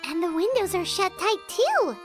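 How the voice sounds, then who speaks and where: A pint-sized floating companion with a very high, sing-song voice. She chatters brightly and calls herself by name in the third person. It sounds like a video game. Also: very animated, moderate pace, music in the background. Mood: eager.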